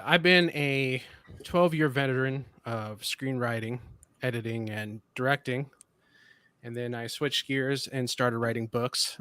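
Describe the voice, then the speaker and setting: A man who reviews movies, speaking as a guest in thoughtful, measured talk that pauses to find words. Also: mid-range voice, a bit bright, smooth, no room sound. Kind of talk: casual discussion.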